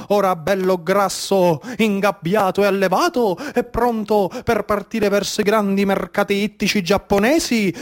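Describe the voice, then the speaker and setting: A man with a Sicilian accent performing a dramatic storytelling monologue in a declamatory, incantatory way with deliberate, repeated phrasing. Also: high voice. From Sicily, Italy. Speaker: stage storyteller, actor.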